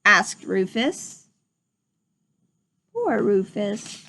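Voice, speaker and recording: A woman reading a picture book aloud to young children in an expressive storytelling manner. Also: mid-range voice, extremely slow, a little hiss or hum. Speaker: storybook reader. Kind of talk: children's read-aloud narration.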